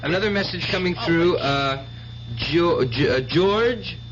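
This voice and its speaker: A man's voice: fairly high pitch, expressive, measured pace, a crowd in the background. An actor playing a theatrical, pious and tormented mind reader with a declamatory showman's manner in a radio drama. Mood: grandiose showman. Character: fanatical stage mind reader, tormented, pious, self-righteous killer. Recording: dull noisy old radio broadcast with hum.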